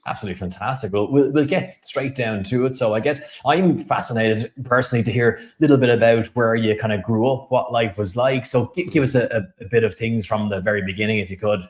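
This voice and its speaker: A man's voice: mid-range voice, fast talker, hardly any pauses. A man hosting a podcast interview, effusive and full of praise, often stammering and repeating words. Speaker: podcast host.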